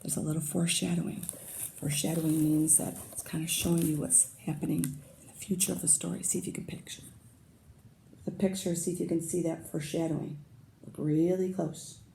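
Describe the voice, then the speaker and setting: A woman teacher reading a picture book aloud to young children, pausing to comment and ask them questions. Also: low-pitched, level tone, creaky, warm tone, a little hiss or hum, low-quality recording.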